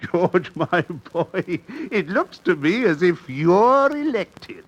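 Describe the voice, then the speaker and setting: Sardonic captain with wildly swinging pitch, man acting. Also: sing-song, lo-fi sound, heavily acted. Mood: needling. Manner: dry, needling, deliberate. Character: cool, sardonic sea captain turning tables on accusers. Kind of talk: radio mystery play acting.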